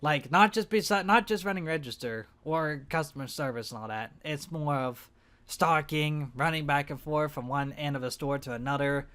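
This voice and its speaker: Young male gaming vlogger, slightly high voice, hoarse and congested, rambling and unsure of himself. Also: normal speed, a bit bright. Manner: rambling, hesitant, self-conscious. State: hoarse, stuffed-up nose. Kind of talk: casual monthly vlog.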